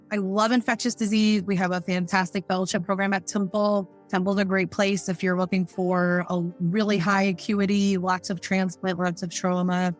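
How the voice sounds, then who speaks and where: A woman with a mid-range voice joins a video call as a physician guest. She sounds bubbly and enthusiastic about her work, with faint music behind her. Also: mid-range voice. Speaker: physician panelist. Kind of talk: casual professional talk.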